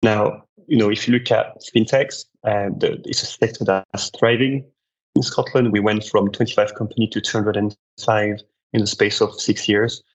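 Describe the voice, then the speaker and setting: French-accented man, low, muffled. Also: level tone, normal speed. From France. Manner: earnest, repeats words while thinking. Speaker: guest, marketing director. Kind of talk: podcast interview answer.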